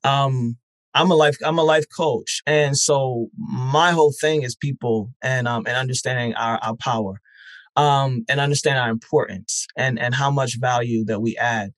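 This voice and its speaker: A man's voice: medium pitch, steady tone, normal speed, fairly bright tone, no echo. A man speaking as a guest, a festival founder and life coach, earnest and encouraging, with frequent ums. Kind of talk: casual interview chat.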